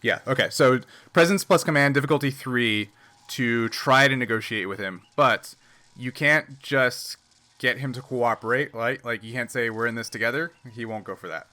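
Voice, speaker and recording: A man's voice: medium pitch, normal speed, sharp tone. A man acting as game master, explaining rules and narrating a tabletop game, often checking that he is understood.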